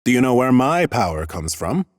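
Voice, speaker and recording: An actor playing a haughty, dry-witted scholarly lich in an audiobook, with a pompous, formal, deadpan manner. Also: male voice, medium pitch, moderate pace, dry recording, natural voice. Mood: imperious, probing. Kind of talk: scripted first-person audiobook narration and dialogue.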